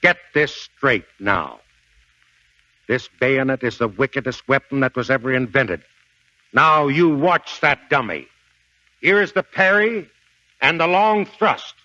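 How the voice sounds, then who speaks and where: An actor playing a gruff, blustering middle-aged American Marine sergeant in a 1940s radio drama, with folksy, emphatic delivery. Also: male voice, medium pitch, measured pace, long pauses, bright, forceful tone, dry recording. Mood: barking, exasperated. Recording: dull 1940s radio broadcast recording.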